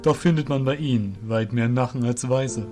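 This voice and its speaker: A deep, rumbling old fairy beast master played by a man in a fantasy audio drama. He speaks formally and with measured calm, wise and wary, over faint music. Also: lightly acted. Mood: calm skepticism.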